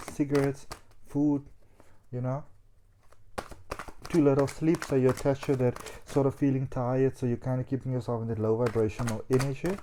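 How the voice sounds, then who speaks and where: Mellow-voiced man giving a tarot reading. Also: mid-range voice, slight background noise. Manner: chatty and animated, with frequent "um" and "you know". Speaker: psychic tarot reader.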